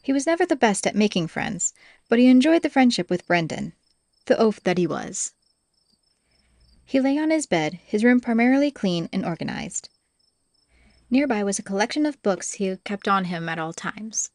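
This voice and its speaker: A woman's voice: mid-range voice, big pitch swings, normal speed, darkish tone, no echo, clean studio sound. A woman reading scripted fantasy audiobook narration as the storyteller.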